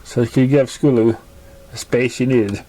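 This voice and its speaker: A man narrating fan fiction as an amateur reader, in a halting, stumbling way. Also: medium pitch, fairly even intonation, mellow, poor audio.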